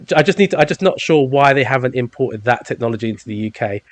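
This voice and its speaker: A man's voice: mid-range voice, animated, quick pace, no echo. A man with a London accent in his thirties, hosting a podcast in a rambling, jokey way with lots of ums. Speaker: podcast host. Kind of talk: casual chat.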